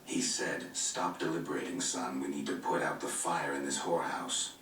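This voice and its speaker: An actor playing a gruff, stoic monster-hunting swordsman in a video game, terse and deadpan, with a gravelly voice. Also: a bass voice, normal speed, a little hiss or hum. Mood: dry, terse. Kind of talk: video game cutscene acting. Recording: roomy game playback, distant, loud music behind.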